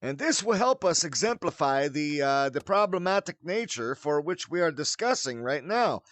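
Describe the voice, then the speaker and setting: High-pitched, animated man hosting a tutorial. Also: normal speed, no room sound. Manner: theatrical, mock-formal wording mixed with folksy slang. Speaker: tutorial host. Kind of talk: casual spoken game tutorial with showy greeting.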